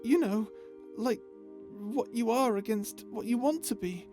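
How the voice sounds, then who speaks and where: A woman plays a gentle, childlike creature in a space audio drama. The voice sits fairly high and is timid and earnest, often stammering and hesitating. Clear music runs underneath. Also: animated, measured pace. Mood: wistful, unsure. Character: timid, childlike, gentle non-human crew member. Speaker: voice actor in audio roleplay. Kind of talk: ASMR audio drama roleplay.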